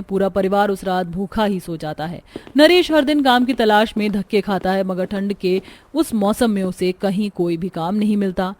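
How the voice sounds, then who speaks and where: A woman's voice: medium pitch, a little mellow. A woman narrating a scripted cartoon story as the storyteller.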